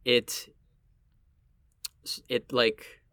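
A man from Miami with a Puerto Rican family, talking casually and reflectively, often halting as he searches for words. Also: fairly bright tone, no echo.

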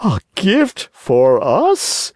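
Reader doing a sneaky fox, high and slooow, stretching every word to sweet-talk. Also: wildly swooping pitch, darkish tone, no room sound, clean studio sound, heavily acted. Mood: coaxing. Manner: drawn-out, coaxing. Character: sly scheming fox, smooth talker.

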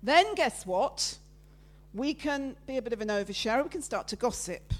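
Woman, preacher, loud voice, chatty, raised pitch, interactive church talk. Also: normal speed. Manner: loud, lively, chatty with the congregation. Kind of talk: interactive sermon with slides. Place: church meeting room with listeners present.

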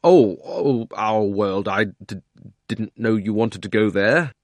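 An earnest, polite boy, put on by a man reading a storybook trips over his words when nervous. The voice is a little higher than the reader's own and bounces around, recorded dry and close. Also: sing-song, dry recording. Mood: flustered. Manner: stammering, hurried, earnest. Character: flustered, polite young boy.

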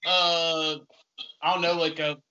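A man interviews a guest on a podcast. His voice is very bright, sharp and fairly high, and he talks in a loose, profane, rambling way. The audio sounds dull and lo-fi. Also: little pitch movement, slow. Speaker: podcast host. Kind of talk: casual interview chat.

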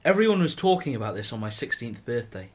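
A man's voice: mid-range voice, very animated, fast. A man playing an innocent, reluctant teenage boy in a radio play, speaking plainly and earnestly. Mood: earnest, naive. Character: reluctant sixteen-to-eighteen-year-old Welsh farm lad, earnest, innocent. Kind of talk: amateur radio play acting and narration.

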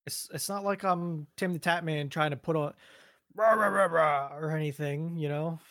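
A man's voice: on the high side, moderate pace, fairly bright tone, no room sound, studio quality. A man co-hosting a podcast, chatting casually, rambling and trailing off mid-sentence. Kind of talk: casual chat. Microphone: studio mic.

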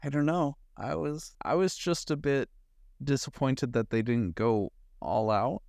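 A man's voice: mid-range voice, unhurried, creaky, darkish tone, no room sound, clean studio sound. A man co-hosting a casual chat about movies, thinking aloud in loose, conversational asides. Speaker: podcast co-host.

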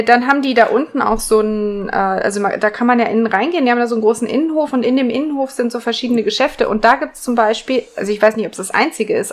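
A woman co-hosting a podcast, chatting casually with others. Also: on the high side. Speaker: podcast co-host. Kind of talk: casual chat.

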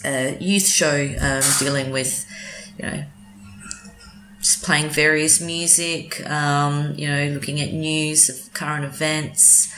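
A woman's voice: sits low, level tone, slow pace, fairly bright tone, roomy sound, faint music behind. A woman with an Australian accent, a community radio presenter chatting in an interview, hesitant, with many ums and restarts. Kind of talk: casual interview chat.

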